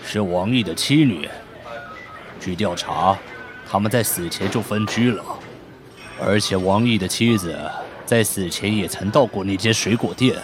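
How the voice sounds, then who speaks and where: A man's voice: lowish voice, unhurried, over music, lightly acted. A man playing a businesslike senior police detective in an audio drama, giving a plain, matter-of-fact briefing.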